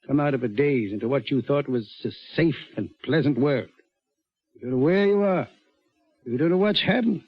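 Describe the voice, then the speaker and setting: An actor playing a big, compassionate, persuasive gentleman in 1940s radio acting style. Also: medium pitch, moderate pace, breathy edge, no echo, natural voice. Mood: earnest, pressing. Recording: dull vintage radio recording.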